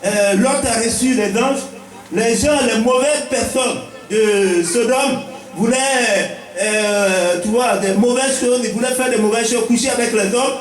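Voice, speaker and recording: A man's voice: very high voice, unhurried. A man with an Ivorian accent giving a preaching-style welcome speech as a village chief, teaching point by point and repeating key phrases. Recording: echoey, picked up from a distance.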